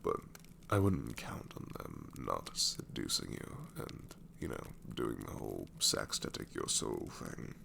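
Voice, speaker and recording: A man's voice: a bass voice, very animated, a little slow, vocal fry, noisy background, heavily acted. A man voicing a sassy, married male shadow demon in an ASMR roleplay, talking sarcastically and drawling, often dropping into whispers. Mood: wry.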